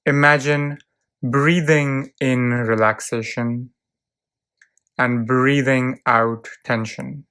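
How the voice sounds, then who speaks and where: Slow, smooth guided relaxation voice. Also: mid-range voice. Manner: calm, soothing, measured delivery with long pauses. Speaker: guided meditation narrator. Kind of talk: guided visualization, relaxation script.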